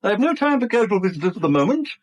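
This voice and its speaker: An actor playing a bitter middle-aged British bank manager in a radio drama, brusque, indignant and clipped, on a dull vintage recording. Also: male voice, high voice, sing-song, very fast. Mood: curt, irritated. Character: bitter, prickly long-serving male acting bank manager, older. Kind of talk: 1970s radio drama acting.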